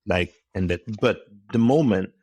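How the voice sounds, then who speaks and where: A middle-aged man from Cameroon, a podcast guest speaking in a thoughtful, measured way. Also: lowish voice, a little mellow, breathy edge, dry recording. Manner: thoughtful, measured, with pauses and restarts. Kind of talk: conversational interview.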